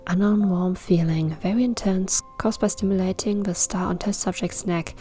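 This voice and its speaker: A soft-hearted young male alchemist from a fantasy tale, read by a woman. He sounds earnest, curious and studious at a medium pitch, with soft music underneath. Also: steady tone, normal speed, lightly acted. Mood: clinical. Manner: earnest, clinical when reporting.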